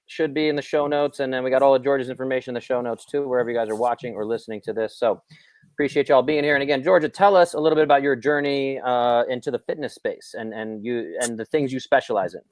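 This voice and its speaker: A man with a mid-range voice hosts a podcast in a relaxed, friendly way. The recording is dry and close, with no room sound. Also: medium pitch, quick pace, no echo. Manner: relaxed, friendly small talk. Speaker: podcast host. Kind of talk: casual interview hosting with sponsor read.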